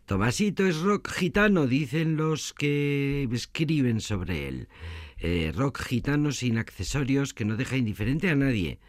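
A man's voice: on the low side, dry recording, studio quality. A man presenting a radio music show in a chatty, casual way, with small restarts.

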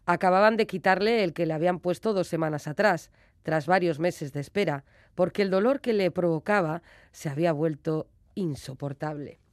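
Low-pitched woman presenting a book review. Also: moderate pace, dry recording, clean studio sound. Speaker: radio book show host and reviewer. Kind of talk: semi-scripted book review narration.

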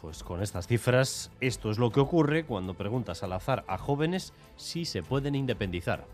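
Male news anchor, mid pitch, formal read, soft music bed. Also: expressive. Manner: measured, formal newsreader delivery. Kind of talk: scripted news reading.

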